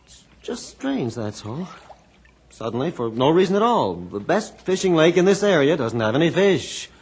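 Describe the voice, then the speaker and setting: A man's voice: a little high, sing-song, normal speed, a little mellow, slightly rough, full character voice. An actor playing an uneasy, suspicious fisherman in a vintage radio drama, edgy and brooding. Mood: puzzled. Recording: old radio recording, faint noise.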